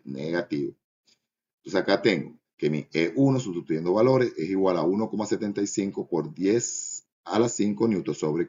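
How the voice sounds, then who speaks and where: A man's voice: low voice, a little mellow, dull audio. A man giving a step-by-step lesson as a physics teacher, in a didactic way with frequent short checks for understanding.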